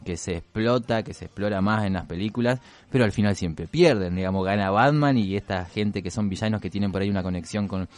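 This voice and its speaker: Male radio host, low-pitched, Rioplatense accent. Informal, agreeable, conversational. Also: fairly quick, few pauses, a little mellow. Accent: Rioplatense Spanish. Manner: agreeable, informal, frequent affirming interjections. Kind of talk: casual on-air chat.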